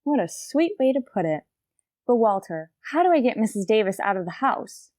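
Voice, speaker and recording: An actress playing a wry, quick-witted high school teacher in an old-time radio comedy, arch and polite in manner. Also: female voice, sing-song, a little mellow, no room sound, studio quality. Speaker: lead actress.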